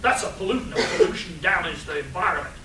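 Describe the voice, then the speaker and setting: A man's voice: high-pitched, lively intonation, quick pace, over a noisy crowd. A man playing an earnest, preachy environmentalist superhero in a live comic radio play, declaiming pompously. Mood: earnest, alarmed. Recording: roomy, distant live stage capture, noisy.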